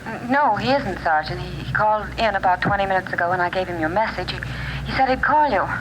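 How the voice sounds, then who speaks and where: A clearly noisy old radio recording of a telephone voice. an American doctor's receptionist, polite and a little hesitant, at a medium pitch. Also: female voice, fast talker. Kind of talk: 1940s radio drama acting.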